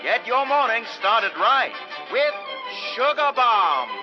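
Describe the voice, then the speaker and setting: An actor playing a grandly mysterious, melodramatic announcer and narrator of an old-time radio serial. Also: male voice, very high-pitched, very animated, slow pace, heavily acted. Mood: upbeat, salesy. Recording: dull vintage radio recording over loud music.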